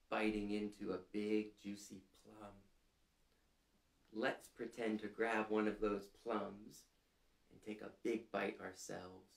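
A man in his thirties hosting a children's storytime, reading aloud and prompting listeners in a gentle, deliberate, clearly phrased way, seated in a small room. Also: lowish voice. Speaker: storytime host reading picture books to children. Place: small furnished room indoors, seated on a couch. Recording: roomy indoor sound.

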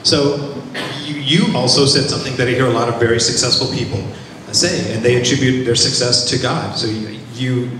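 A man's voice: mid-range voice, fairly even intonation, brisk, fairly bright tone. Man, event host, upbeat, interviewing guests, distant mic, echoey hall, background noise. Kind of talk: hosting a panel, interviewing.

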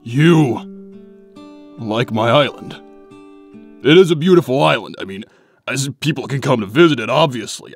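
A man voicing a dense, gruff, easily flustered young echidna warrior in a comic dub, earnest and stammering. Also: mid-range voice, no echo, studio quality.

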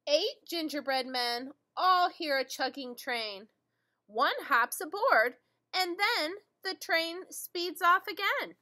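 A middle-aged woman teacher reading a children's picture book aloud in a deliberate singsong way, addressing young children. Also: high-pitched, animated, pushed and bright. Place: indoor school classroom.

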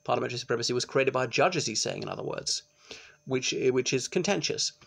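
A middle-aged man, giving an informal law lecture to his webcam in a small room and often pausing with ums. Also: medium pitch, creaky, a bit bright. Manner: chatty, explanatory, with frequent ums and restarts. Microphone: laptop webcam, close framing.

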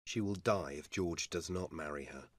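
A loyal, serious army captain speaks in a low, quiet, earnest way, as a man reads him. His voice is deep and a little husky. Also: normal speed, dry recording.

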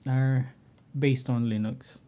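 Slow, dark-voiced Filipino man. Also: medium pitch, little pitch movement. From Philippines. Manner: halting, frequent throat clearing and fillers. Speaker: tech vlogger. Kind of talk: casual screen-share walkthrough.

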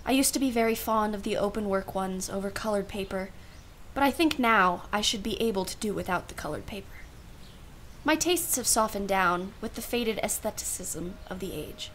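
A woman's voice: mid-range voice, lively intonation, normal speed, a bit bright, a little hiss or hum, lightly acted. A woman playing a wry, genteel aunt in a radio play, musing in an arch, formal way. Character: wry, genteel aunt, self-professedly unsentimental.

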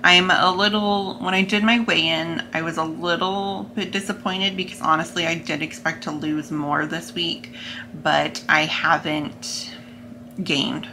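Bright-voiced young American woman vlogger. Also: fairly low pitch, flat delivery, a little slow, slight background noise, low-quality recording. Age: young adult. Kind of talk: casual vlog talk to camera.

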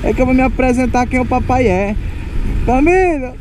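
A man's voice: extremely high pitch, expressive, normal speed. A young man with a Cearense accent chatting and joking while riding a motorcycle through city traffic. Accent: Cearense Portuguese. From Ceará, Brazil. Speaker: motovlogger and delivery rider. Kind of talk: casual riding commentary. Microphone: helmet-mounted action camera. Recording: loud engine and wind noise.

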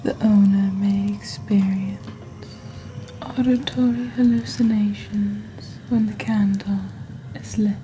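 A young woman playing a calm, mysterious witch who hosts a magical shopping channel in soft, breathy, half-whispered ASMR narration. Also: medium pitch, faint music behind, close to an everyday voice. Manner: slow, deliberate, hushed, theatrical presenting. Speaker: host of a fantasy shopping channel. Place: small dim indoor set. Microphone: close fluffy-covered studio microphone.

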